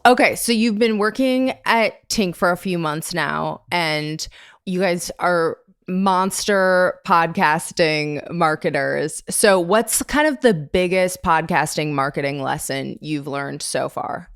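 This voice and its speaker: A woman's voice: lowish voice, slow, clean tone, no echo, studio quality. A woman hosting an interview podcast, talking in a bubbly, upbeat way and laughing easily. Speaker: podcast host.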